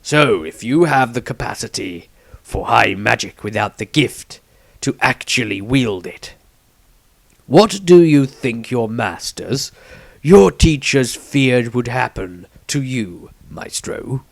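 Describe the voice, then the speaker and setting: A man voicing a grave old patriarch of a wizard order in a fantasy audiobook, solemn and deliberate, with dramatic pauses. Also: medium pitch, expressive, vocal fry, no echo, heavily acted. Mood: explaining gravely. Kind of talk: fantasy audiobook character reading.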